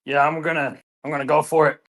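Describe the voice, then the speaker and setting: A woman's voice: low-pitched, flat delivery, quick pace, vocal fry. A woman chatting casually as a player in a tabletop roleplaying game. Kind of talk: casual chat while roleplaying.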